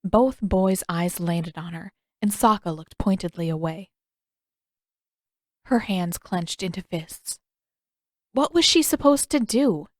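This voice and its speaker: A woman's voice: medium pitch, dry recording, clean studio sound. A woman reading fiction aloud as a scripted audiobook narration.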